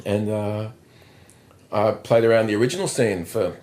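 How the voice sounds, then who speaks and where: Deep-voiced Australian man rambling easily. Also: moderate pace, a little mellow. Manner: rambling, self-deprecating, restarts a lot. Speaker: drummer and musical director, podcast guest. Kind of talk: casual interview chat. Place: indoors, on a couch.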